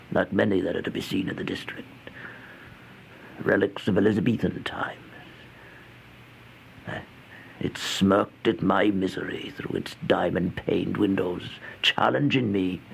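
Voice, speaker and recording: An actor playing an exhausted, starving homeless man who recounts a horror tale in a halting, breathy, theatrical old-time radio style, often dropping toward a whisper. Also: deep voice, heavily acted. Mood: bitter, ominous. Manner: halting, slow, theatrical, anguished. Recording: noisy old radio recording.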